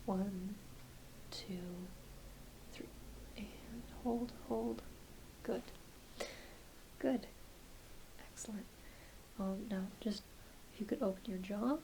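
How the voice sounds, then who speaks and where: A woman performing a soft-spoken, often whispered ASMR roleplay as a doctor, talking gently and reassuringly to a patient with some hesitancy. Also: medium pitch, steady tone, takes it slowly, long pauses, low-quality recording. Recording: clear background hiss.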